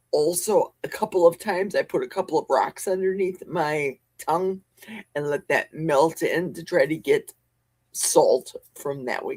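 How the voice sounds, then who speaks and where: A woman's voice: sits low, a little mellow, husky, no echo. A woman hosting a casual livestream from her home basement, chatty and jokey as she answers viewers' comments. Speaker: livestream host. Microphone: desk mic with a cough button.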